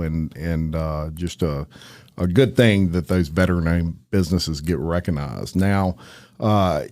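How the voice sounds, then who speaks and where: A man's voice: deep voice, a little mellow. Chatty man hosting a podcast. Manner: upbeat, chatty, frequent restarts. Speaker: podcast host. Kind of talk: casual interview chat.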